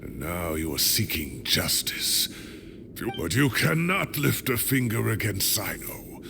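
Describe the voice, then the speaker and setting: A low, creaky-voiced professor in a fantasy game drama. He talks slowly and earnestly, weary and guarded, with expressive swings in pitch. The game audio has clear noise behind it. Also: full character voice. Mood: protective, firm. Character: weary male scholar and professor, guarded and protective. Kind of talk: dramatic video game acting.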